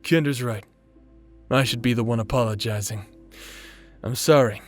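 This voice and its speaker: A man's voice: medium pitch, moderate pace, voice pushed down, lightly acted. A man performs an older, long-lived fantasy adventurer. He talks in a blunt, casual, sardonic way with a protective streak. Light music plays underneath the audio drama. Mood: apologetic, wry. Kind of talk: fantasy audio roleplay acting.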